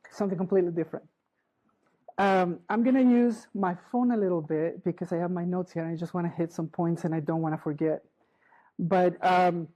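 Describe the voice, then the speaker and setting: Halting middle-aged woman presenter. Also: on the low side, mellow. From Chile. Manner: halting, restarts often, keeps checking in with the audience. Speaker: conference speaker. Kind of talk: live conference talk. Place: conference hall.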